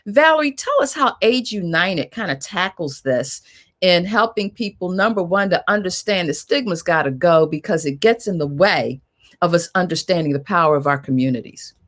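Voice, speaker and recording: A woman's voice: fairly low pitch, animated, moderate pace, fairly bright tone. Emphatic woman moderating a panel. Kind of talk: conversational panel hosting.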